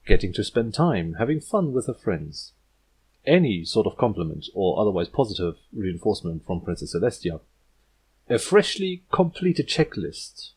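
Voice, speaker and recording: Husky man narrating a story. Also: fairly low pitch, darkish tone. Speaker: audiobook narrator.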